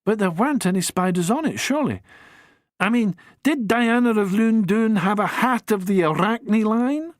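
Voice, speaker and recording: A man voicing an inquisitive young woman who asks pointed, rapid questions. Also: high-pitched, expressive, no room sound, studio quality, voice pushed up. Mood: probing. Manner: probing, questions pile up quickly.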